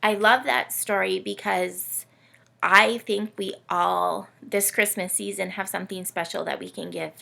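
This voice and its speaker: A woman's voice: mid-range voice, a little slow, creaky, sharp tone. A woman hosting a read-aloud for young children, talking to them directly in simple, encouraging words. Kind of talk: story time talk addressed to young children.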